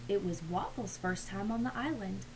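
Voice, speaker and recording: A woman reading a children's picture book aloud in an expressive storytelling manner, with hushed asides to young listeners. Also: medium pitch, moderate pace, background noise. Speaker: read-aloud reader.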